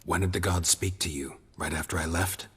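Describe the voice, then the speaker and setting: A gruff, very deep monster hunter. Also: male voice, little pitch movement, fairly quick, fairly bright tone, exaggerated voice. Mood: probing. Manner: terse, clipped, matter-of-fact. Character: gruff grizzled male monster hunter, terse and dry. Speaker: game protagonist.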